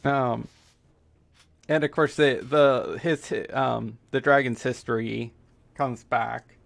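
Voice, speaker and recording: A man from the United States whose first language is Spanish, giving halting, filler-heavy casual commentary while streaming a game playthrough. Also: medium pitch, steady tone, creaky, no echo. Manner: halting, full of fillers and restarts. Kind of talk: casual let's-play commentary.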